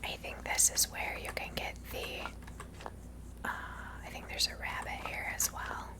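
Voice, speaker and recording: A woman whispering ASMR video game commentary in a breathy voice, softly musing to herself. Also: a little slow. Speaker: video game player and streamer. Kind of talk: whispered ASMR gameplay commentary with reading aloud.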